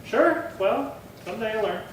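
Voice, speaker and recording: A man's voice: high voice, expressive, normal speed. A man playing a folksy police detective sidekick in an old-time radio crime drama, talking in a chatty, easygoing but insistent way. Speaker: actor. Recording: echoey room far from mic, clear noise.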